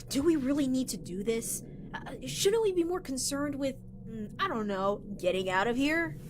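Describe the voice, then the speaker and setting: A woman's voice: high voice, very animated. A woman playing a brash, sardonic getaway driver who also narrates, in a wry manner, in a sci-fi audio drama. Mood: hesitant. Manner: wry, quick retorts, exasperated. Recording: clear background noise with sirens and vehicles.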